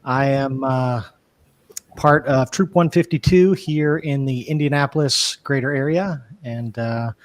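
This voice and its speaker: Panelist: man, middle pitch, eager and jokey, video call. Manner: eager, playful. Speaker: panelist, outdoor creator.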